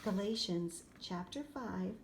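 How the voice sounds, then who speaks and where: A woman reads a picture book aloud for children. Her voice sits at a medium pitch, and she delivers the story expressively in deliberate, separated phrases. There is clear ambient noise behind her. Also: animated, poor audio. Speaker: storybook reader.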